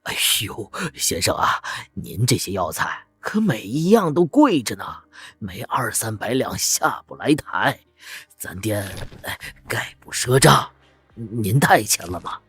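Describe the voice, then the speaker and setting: Haughty male apothecary, husky, dismissive, very swoopy delivery, costume animation. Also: on the low side, very animated, a little slow, a bit bright, exaggerated voice. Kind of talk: dubbed animated costume drama.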